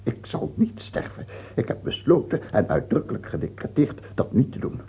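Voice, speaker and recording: A man's voice: mid-range voice, very animated, normal speed, gravelly, breathy edge, soft background music, full character voice. An actor in a radio play as a stubborn, self-important king who is terrified of dying, speaking in an imperious, pompous way. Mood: brooding, calculating. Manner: imperious, pompous, swinging between proclaiming and muttering. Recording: tinny, band-limited old radio play.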